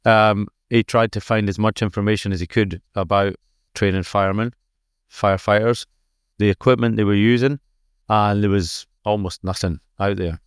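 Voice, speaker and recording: A man's voice: fairly low pitch, fairly even intonation, moderate pace, clean tone, no echo, studio quality. A man with a Scottish accent, a podcast guest explaining history in a conversational way with many fillers. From Scotland. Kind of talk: conversational storytelling. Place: conference exhibition booth.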